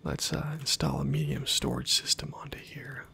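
A man whispering ASMR game commentary close to the mic, breathy and muttering dryly to himself. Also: extremely low pitch, big pitch swings, moderate pace, pushed and bright. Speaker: gaming video creator.

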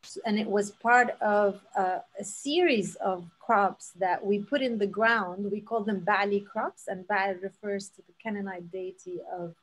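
A woman's voice: medium pitch, a little slow, hardly any pauses, rough recording. A woman in her thirties from Palestine, giving an earnest storytelling talk over a video call from her home, full of fillers and restarts. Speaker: seed saver giving a talk. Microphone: laptop webcam mic on a video call.

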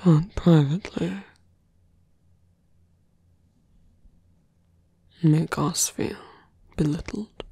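Man acting a husky, whispering seductive villain. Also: fairly high pitch, steady tone, mellow, no echo, exaggerated voice. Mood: bitter, intimate. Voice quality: breathy, husky. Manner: slow, coaxing, lingering pauses. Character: seductive, brooding male fantasy villain wooing a hero. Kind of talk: intimate ASMR roleplay, close whispering.

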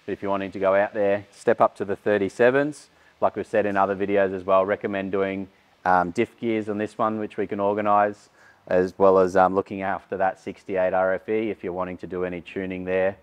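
A man's voice: low-pitched, level tone, fairly quick, clear, resonant tone. A man, giving a casual walkaround tour of a vehicle workshop with frequent fillers. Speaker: workshop host. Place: large vehicle workshop indoors.

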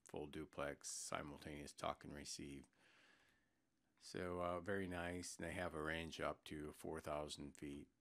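An American man giving an informal product explainer as a presenter, plain-spoken and hesitant, pausing mid-sentence. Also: very deep voice, creaky, a bit bright. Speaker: product presenter for an intercom seller. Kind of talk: informal product explainer over screen recording.

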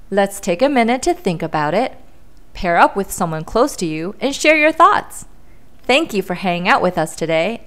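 High-voiced, animated woman reading for children. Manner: addresses young listeners with questions. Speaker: children's story reader and host. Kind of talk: read-aloud for young children.

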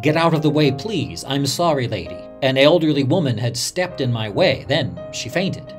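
Actor as haunted reporter, very animated storyteller. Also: male voice, mid-range voice, lightly acted. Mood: distressed. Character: male radio reporter recalling a disaster he witnessed, haunted, earnest. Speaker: narrator of a radio reporter's memories. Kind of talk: dramatic first-person storytelling.

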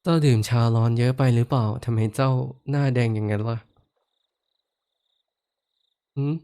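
A man voicing a kindly horned giant lord who speaks softly, comforts the listener, and teases playfully in a roleplay audio drama. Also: medium pitch, fairly even intonation, quick pace, smooth, close to an everyday voice. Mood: teasing. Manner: soft-spoken, comforting, playfully teasing. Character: kindly male giant lord with horns, gentle and teasing.

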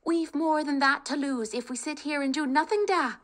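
Woman doing a young peasant girl, clever, persuasive, higher voice, storybook. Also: normal speed. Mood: rallying. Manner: lively, persuasive, coaxing.

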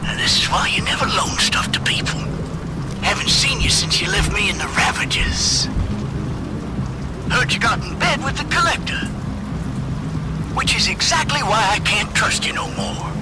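An actor playing a gruff middle-aged alien space pirate in a video game, with a folksy, taunting drawl. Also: male voice, very high voice, huge pitch swings, a little slow, long pauses, over loud music, heavily acted. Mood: gruff, suspicious. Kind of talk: animated game character acting.

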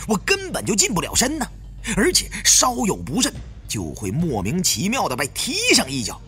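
Very animated male audiobook narrator. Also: fairly high pitch, normal speed, faint music behind. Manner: storyteller's expressive delivery. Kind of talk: dramatic scripted first-person narration.

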